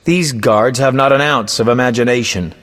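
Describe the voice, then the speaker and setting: A man's voice: medium pitch, normal speed, fairly bright tone, big-room sound, lightly acted. A man playing a vain, roguish poet and bard in a video game, speaking in a theatrical, florid, self-important way. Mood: breezy, sly. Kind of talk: video game voice acting, storytelling.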